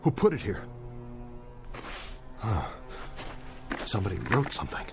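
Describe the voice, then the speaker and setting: An actor with an American accent playing a dazed, guilt-ridden young bomber captain in a radio drama, talking to himself in broken, searching phrases. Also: male voice, fairly low pitch, sing-song, a little slow, over music. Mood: bewildered.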